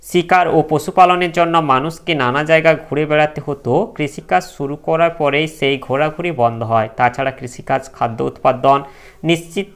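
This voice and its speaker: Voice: medium pitch, brisk, clear, resonant tone. A teacher reads exam questions and answers aloud as a lesson, instructing students directly.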